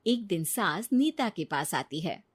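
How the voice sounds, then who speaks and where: A woman's voice: on the low side, sing-song, unhurried, breathy edge. A woman narrating a scripted moral story.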